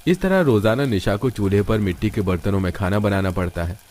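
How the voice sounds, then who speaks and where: Low-voiced male story narrator. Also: brisk, darkish tone. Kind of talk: scripted cartoon story narration.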